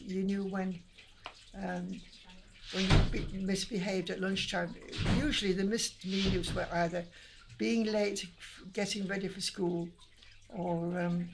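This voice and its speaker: A woman's voice: medium pitch, fairly even intonation, unhurried, creaky, a little hiss or hum, rough recording. An older woman with a Lancashire accent, from Manchester, answering interview questions in a chatty, reminiscing way with frequent restarts. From Manchester, England. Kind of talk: casual interview chat.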